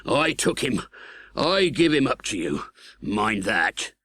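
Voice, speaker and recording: A man voicing a hardened, scornful English convict in an audiobook, with slow, grudging, uneducated speech. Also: medium pitch, sing-song, pushed and bright, no echo, studio quality, voice pushed up, full character voice. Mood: defiant.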